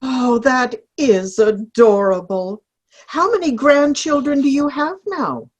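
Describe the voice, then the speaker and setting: Husky, slow, gentle older friend woman acting. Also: high-pitched. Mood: pleasant. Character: mild, peacemaking older woman, sweet family-minded friend. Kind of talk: amateur play reading. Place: online video-call play reading.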